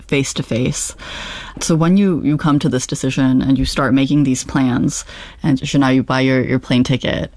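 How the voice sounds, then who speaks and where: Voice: mid-range voice, a little mellow, no echo. An interviewer who asks questions in conversation and also narrates the story between answers.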